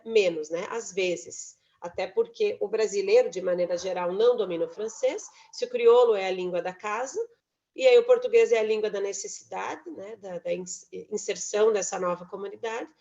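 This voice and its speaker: Woman lecturer, mid pitch, measured academic talk, muffled call audio. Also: warm tone. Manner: measured, frequent "né" tags and self-corrections. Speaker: university lecturer presenting research. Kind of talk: academic presentation. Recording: dull lo-fi online talk audio.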